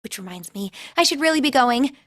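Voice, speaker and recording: A woman's voice: sits high, expressive, fairly quick, fairly bright tone, no room sound, clean studio sound. An actress playing a shy, kindly teenage girl student in a video game, polite and hesitant. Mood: gentle. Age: young adult. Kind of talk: English anime-style game voice acting.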